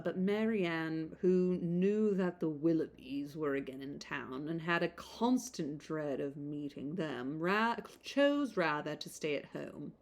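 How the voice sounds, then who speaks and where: Woman reading aloud, slightly low voice. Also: darkish tone. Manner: conversational, rambling off on tangents in asides. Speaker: podcast host reading a classic novel aloud. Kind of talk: informal audiobook reading with chatty asides.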